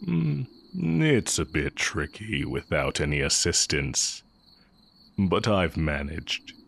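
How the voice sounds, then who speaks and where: Very deep, unhurried villainous magic teacher played by a man. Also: very animated, vocal fry, fairly bright tone, exaggerated voice. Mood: fond, musing. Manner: unhurried, wry, condescending yet affectionate. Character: exiled heretic dark-magic teacher, smug, fond, villainous. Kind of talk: intimate audio roleplay, addressing the listener directly.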